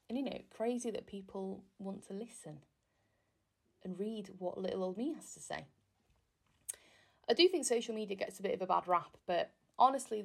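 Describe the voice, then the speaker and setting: A woman's voice: mid-range voice, vocal fry. A young woman from Wales, whose first language is Welsh, hosting a casual solo podcast in a chatty, self-deprecating, rambling way. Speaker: podcast host.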